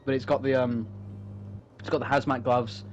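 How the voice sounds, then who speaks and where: A man's voice: medium pitch, little pitch movement, normal speed, muffled recording. Guy just rambling away enthusiastically, sweary casual chat, noisy homemade recording. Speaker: co-host. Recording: clearly noisy background, home-made recording.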